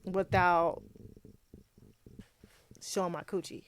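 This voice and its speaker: A woman with a raspy voice, a guest on a casual talk show, a little tipsy, slurring her words and laughing easily as she talks in run-on thoughts. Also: on the low side, level tone, takes it slowly. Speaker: podcast guest. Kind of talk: casual chat.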